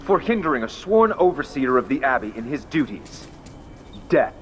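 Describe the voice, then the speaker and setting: A man's voice: medium pitch, very animated, moderate pace, background noise, lightly acted. A man playing a stern religious overseer in a video game, speaking formally and declaiming like a judge. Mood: cold, condemning. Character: stern male religious overseer passing sentence. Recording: loud game soundtrack behind voice.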